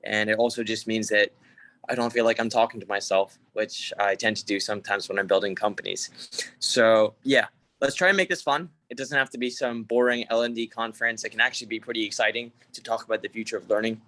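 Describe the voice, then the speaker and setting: A man's voice: lowish voice, fairly quick, fairly bright tone, no echo, low-quality recording. Chatty man speaking on a video call. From Hong Kong. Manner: chatty, informal, many fillers and restarts. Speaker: conference speaker. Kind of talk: casual online presentation.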